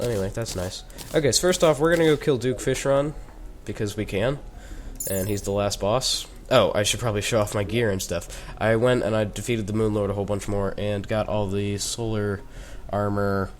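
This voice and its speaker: A teenage boy with a head cold, chatting casually and rambling with joking asides while he plays and commentates a video game. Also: on the low side. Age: teens. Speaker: gaming YouTuber.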